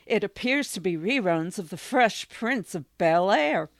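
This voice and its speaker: A woman's voice: mid-range voice, lively intonation, unhurried, dry recording. A woman playing an easygoing, matter-of-fact young character in a radio drama.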